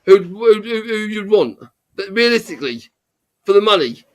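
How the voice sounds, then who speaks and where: A man's voice: sits high, sing-song, normal speed. A man hosting a casual football chat on a live call, rambling and restarting his sentences.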